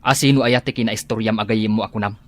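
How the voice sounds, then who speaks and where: A man's voice: fairly low pitch, steady tone, fast talker, lightly acted. A man playing a jealous, suspicious husband in a melodramatic radio drama, interrogating and scolding. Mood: suspicious, brooding.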